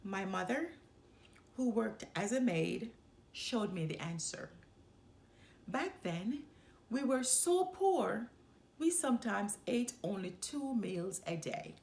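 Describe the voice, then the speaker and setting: A woman reading a children's book aloud in an expressive storytelling style, in short, deliberate phrases with pauses for emphasis. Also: mid-range voice, vocal fry. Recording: roomy, echoing room sound.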